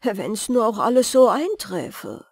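A woman's voice: fairly high pitch, very animated, slow, darkish tone, slightly rough, no room sound, clean studio sound, exaggerated voice. A woman voicing a cheerful young male fairy-tale hunter, musing to himself with light amusement. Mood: amused, doubting.

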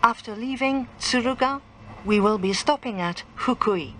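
A woman reading a scripted train announcement formally, in measured and carefully enunciated phrases. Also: a bit bright. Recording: clear train rumble over announcement. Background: train running noise.

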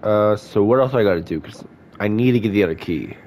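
Man, lowish voice, congested nose, casual let's play chatter, faint hiss behind. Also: brisk, darkish tone. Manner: reads character lines in his own voice, chatty asides, congested. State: stuffed-up nose. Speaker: gaming YouTuber. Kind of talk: casual let's play, reading game dialogue aloud.

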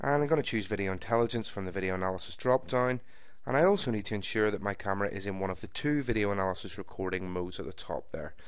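A man with a fairly low voice walks through a software setup one step at a time, calm and methodical like a trainer. His narration is close to the microphone and sounds dry, with almost no room around it. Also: fast talker, smooth, no echo. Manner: steady, methodical step-by-step explanation. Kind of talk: screen-recorded software tutorial. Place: voice-over recorded for a screen capture.

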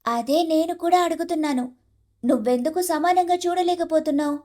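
A woman voicing a sharp-tongued, aggrieved young Telugu wife in an animated moral story. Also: very high voice, a little fast. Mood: sarcastic, quarrelsome.

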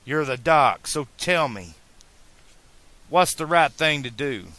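A man voicing an earnest, excitable teenage boy in an audiobook, talking in an emphatic, colloquial, pleading way. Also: fairly high pitch, slow, dry recording, voice pushed up, full character voice. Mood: careful, persuasive. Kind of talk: audiobook character dialogue.

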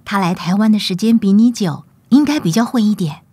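A woman acting a gentle, weary, self-sacrificing mother with a soft, caring manner in a radio drama. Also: mid-range voice, lively intonation, a little fast, close to an everyday voice. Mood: encouraging.

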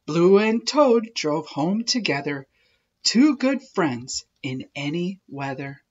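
A woman narrating a children's picture book in an expressive, measured storytelling way. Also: on the low side, breathy edge, highs cut off. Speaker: children's read-aloud host.

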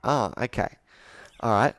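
A man's voice: medium pitch, lively intonation, measured pace, a bit bright. A man in his thirties working as a sales coach, asking direct, probing, persuasive questions in a conversational roleplay on a video call. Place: indoor room with whiteboard, on a video call.

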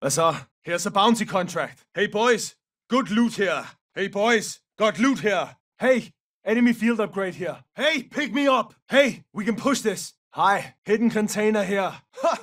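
An actor playing a gruff, battle-hungry special forces mercenary in a military game, giving clipped, forceful combat callouts in a gravelly voice. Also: male voice, high-pitched, lively intonation, unhurried, far from the mic. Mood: terse, alert. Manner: clipped, forceful, barked short phrases.

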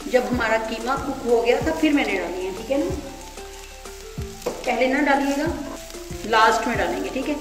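A woman's voice: fairly high pitch, normal speed, long pauses. A woman hosting her home cooking channel, explaining each step of a recipe in an instructive way and often checking in with the viewer. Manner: instructive, often ends with "theek hai". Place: home kitchen. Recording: echoey room with background music.

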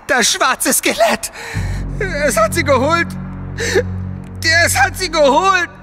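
A man's voice: very high voice, huge pitch swings, slow pace, music in the background, full character voice. An actor playing a terrified, exhausted man in his twenties, pleading frantically in an audio drama. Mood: hysterical, pleading. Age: 20s.

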